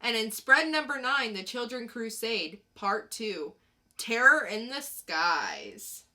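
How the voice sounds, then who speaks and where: Female podcast co-host, slow-paced and bright, casual delivery of comic reviews and blurbs. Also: on the high side, big pitch swings.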